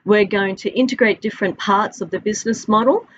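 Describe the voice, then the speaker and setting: A woman's voice: mid-range voice, a little fast, lo-fi sound. A middle-aged Australian woman, a university instructor recording a friendly, conversational course introduction from her office. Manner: friendly, conversational, stretches out listed items. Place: office with a large window. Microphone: webcam at desk.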